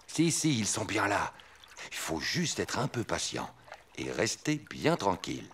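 A man voicing a gentle, patient father bear who softly explains things in a preschool cartoon. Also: on the low side, huge pitch swings, unhurried, vocal fry, a little hiss or hum, full character voice. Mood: calm, reassuring. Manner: soft-spoken, patient, explanatory. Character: gentle patient father bear, adult male, teaching his cubs. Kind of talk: French preschool cartoon dialogue. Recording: music behind, slightly distant voice.

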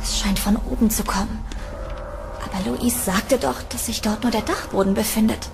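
A woman playing a curious, uneasy young tenant in a horror audio drama, with expressive delivery. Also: medium pitch, normal speed, gravelly, lightly acted. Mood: curious, wary. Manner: expressive, talking to herself. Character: curious, uneasy young female tenant exploring a creepy house. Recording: music behind the voice.

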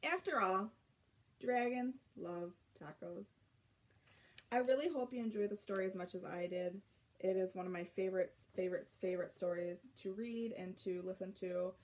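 A young woman reading a children's picture book aloud, expressively, with drawn-out emphasis and dramatic pauses. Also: medium pitch, a little mellow. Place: small bedroom at home.

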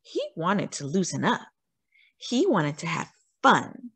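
Woman, children's read-aloud, lively swooping pitch, unhurried pace, theatrical emphasis. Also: breathy edge, no echo. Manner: theatrical, drawn-out emphasis on words. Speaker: children's story reader.

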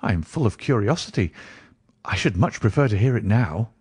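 Man acting an astonished, animated loyal gentleman. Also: lowish voice, brisk, breathy edge, no room sound, clean studio sound, exaggerated voice. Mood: eager. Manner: exclamatory, earnest. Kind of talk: audiobook character dialogue.

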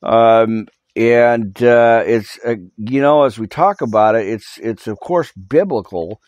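A man pastor reading scripture and giving a live prayer update on his podcast, halting with many fillers and restarts, earnestly urging listeners to repent, recorded on a cell phone in a small home studio room. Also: mid-range voice, darkish tone, no room sound. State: recovering from losing his voice.

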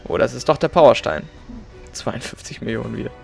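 A man's voice: mid-range voice, fairly quick, creaky, soft background music. A young man casually commenting on his own gameplay, chatty and joking. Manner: chatty, joking, mixes in playful English. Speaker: let's play gamer.

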